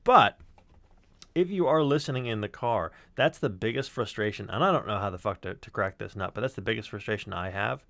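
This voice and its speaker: Guy w a lowish voice telling stories casually, sweary and animated, real dry sound. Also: a little fast, vocal fry, no echo. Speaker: podcast sound designer. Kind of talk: casual chat and storytelling.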